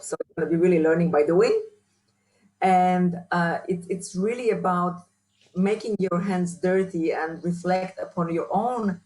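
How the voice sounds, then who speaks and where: A woman with a strong Polish accent answering interview questions as a researcher and trainer, often restarting and filling pauses. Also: lowish voice, darkish tone, low-quality recording. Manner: hesitant, many restarts and fillers. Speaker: workshop speaker, researcher.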